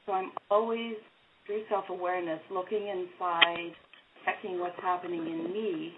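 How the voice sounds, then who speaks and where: A woman introducing herself in a measured, reflective way on an online group call. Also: lowish voice, steady tone, heavy background noise. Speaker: group participant. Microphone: video call. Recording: tinny call audio.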